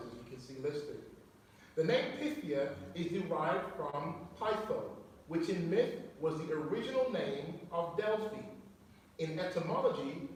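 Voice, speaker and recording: A man teaching a sermon to his fellowship, explaining words and asking the listeners questions. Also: on the high side. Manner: explanatory, reads quotes aloud, asks listeners questions. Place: church hall. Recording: very echoey room, mic far off, faint noise.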